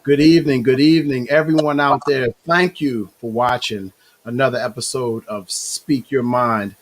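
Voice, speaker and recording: Hoarse male talk show host. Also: mid-range voice, fairly even intonation. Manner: halting, filler-heavy, self-correcting. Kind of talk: hosting a live online talk show.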